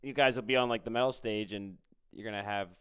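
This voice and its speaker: A man's voice: lowish voice, fairly even intonation, quick pace, a bit bright, smooth. A man hosting a casual interview, speaking informally and supportively with his guest. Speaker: podcast host, interviewer.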